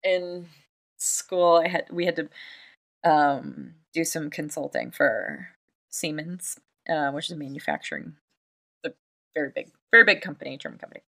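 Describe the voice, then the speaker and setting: A woman co-hosting a podcast in casual chat, an animated storyteller who laughs often and acts out what people said. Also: low voice, moderate pace, vocal fry. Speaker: podcast co-host.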